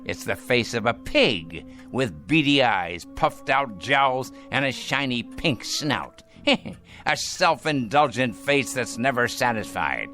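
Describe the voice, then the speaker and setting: A man's voice: mid-range voice, big pitch swings, sharp tone, soft background music, full character voice. A man acting in a radio drama as a bitter, domineering, dying rich old grandfather who talks in a theatrical, mocking way. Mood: mocking, sardonic. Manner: theatrical, mocking, drawn-out delivery.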